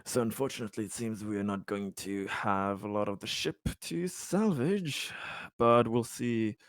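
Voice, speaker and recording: A man playing a tabletop roleplaying game, improvising in character in halting, hesitant phrases. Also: on the low side, dry recording, studio quality.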